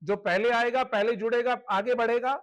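A man's voice: very high-pitched, steady tone, normal speed, muffled recording. A man with an Indian accent giving a promotional slide presentation, explaining step by step and dropping in English terms. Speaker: presenter of a membership scheme.

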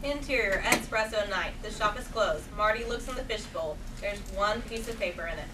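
A woman's voice: mid-range voice, brisk, fairly bright tone. A woman reading scripted stage directions at a live table read. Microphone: far camera microphone. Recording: distant echoey noisy stage recording.

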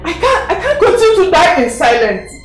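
Very shrill, tearful mistress. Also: female voice, big pitch swings, fairly quick. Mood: distraught, tearful. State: crying, distressed. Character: distraught pregnant young mistress, desperate and tearful. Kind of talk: melodramatic screen drama acting. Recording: echoey room, faint music.

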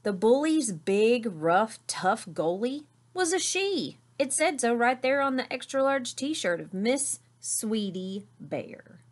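A middle-aged woman reading a children's story aloud in an expressive narrating style. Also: fairly high pitch, takes it slowly, hardly any pauses. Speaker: storybook reader. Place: small indoor room with curtain backdrop.

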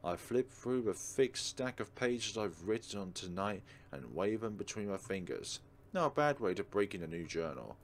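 A man's voice: fairly low pitch, expressive, moderate pace, a little hiss or hum. A man hosting a let's play, reading a visual novel aloud expressively and adding casual commentary. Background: soft game music.

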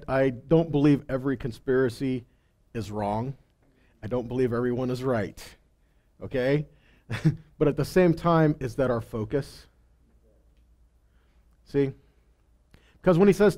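Male preacher, middle-aged, warm dark timbre, emphatic teaching with rhetorical questions, headset mic. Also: mid-range voice. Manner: emphatic, rhetorical questions, stresses key words. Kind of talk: sermon, conversational teaching. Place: stage in a congregation hall.

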